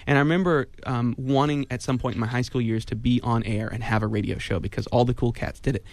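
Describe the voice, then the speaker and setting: A man's voice: mid-range voice, steady tone, fast talker, dry recording. A man from California hosting a public radio show, earnestly rambling through a pledge drive in the studio. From California, United States. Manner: earnest, rambling, repeats phone number. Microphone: studio microphone.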